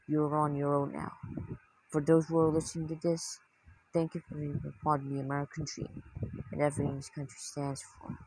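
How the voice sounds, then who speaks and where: A synthetic, husky voice reading a scripted emergency broadcast announcement in a formal, unvaried manner. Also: medium pitch, dry recording, rough recording. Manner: flat, formal, synthetic delivery.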